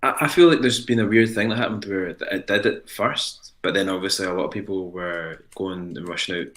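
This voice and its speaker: A man's voice: deep voice, brisk, a bit bright. A man, a radio co-host talking casually in a wry, thoughtful way. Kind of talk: casual chat.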